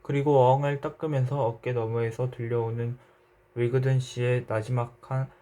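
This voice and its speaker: A man narrating a literary short story in a measured, reflective way. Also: medium pitch, flat delivery, warm tone.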